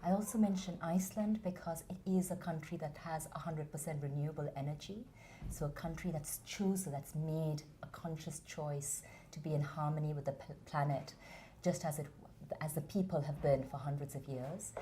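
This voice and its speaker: Earnest woman, low warm voice. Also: a little hiss or hum. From India. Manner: earnest, measured, passionate. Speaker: interview guest, founder of a theatre academy. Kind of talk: reflective interview answers.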